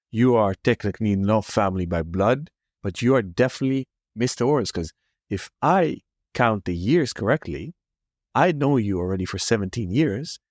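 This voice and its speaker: A man's voice: fairly low pitch, a little mellow, no echo, clean studio sound. A man co-hosting a watch podcast, asking long winding questions in a friendly, teasing way. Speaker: podcast co-host. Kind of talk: casual interview hosting.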